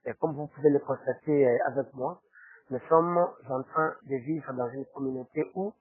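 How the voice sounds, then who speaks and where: A man from DR Congo with a Congolese accent and a husky voice, answering formally as a spokesman, hesitantly and with frequent tag questions. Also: mid-range voice, normal speed. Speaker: interview guest, foundation spokesman. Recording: roomy, tinny phone-like sound.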